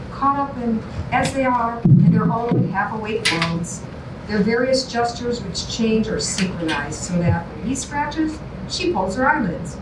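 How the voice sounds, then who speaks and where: A woman reading scripted stage directions aloud as the narrator at a staged reading. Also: a little high. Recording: echoey, noisy room, distant mic.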